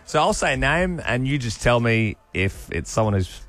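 A man co-hosting a breakfast radio show, running a quiz with enthusiastic, quick banter. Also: mid-range voice, very animated, fairly bright tone, faint music behind. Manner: enthusiastic, quick-talking quizmaster. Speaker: radio co-host.

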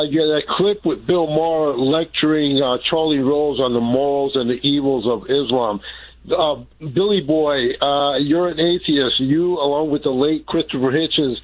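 A man calling in to a radio show, combative and halting with fillers. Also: a little high. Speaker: caller. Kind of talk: phone-in call. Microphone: telephone. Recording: tinny phone line.

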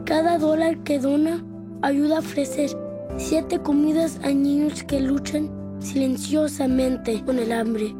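A woman reading a scripted charity ad in a soft, earnest, measured way. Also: high-pitched, steady tone, over music. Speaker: ad voice-over.

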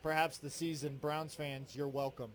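A man co-hosting a sports radio show from a bar, talking in a loud, preacher-like voice with joking banter. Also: a little high, little pitch movement, moderate pace. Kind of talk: casual sports talk. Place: sports bar remote broadcast. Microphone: headphones and studio mic.

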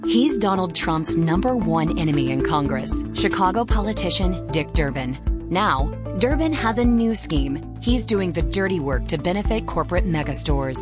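A woman's voice: fairly low pitch, animated, moderate pace. A woman reading a scripted political ad in a dramatic, accusing tone. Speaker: ad announcer. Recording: music bed under voice.

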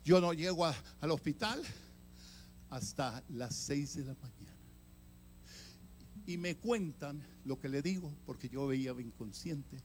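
An older man, an evangelist, telling his own story in a sermon, swinging from loud emphatic bursts to hushed near-whispers. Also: a little high, a little slow, long pauses, slight background noise. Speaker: evangelist preacher. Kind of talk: sermon testimony.

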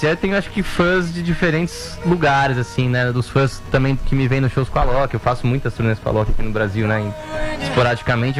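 A man from Brazil, a singer guest, talking casually in a relaxed, rambling way with many fillers. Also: mid-range voice. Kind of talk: casual interview chat.

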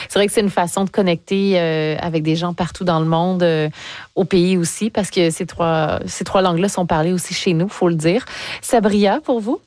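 A woman's voice: lowish voice, a little mellow, no room sound. A woman hosting a conversational interview. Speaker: interview host.

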